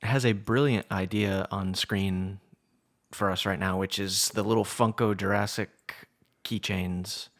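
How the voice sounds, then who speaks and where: A man's voice: deep voice, steady tone, unhurried. A man chatting casually as a co-host on a podcast.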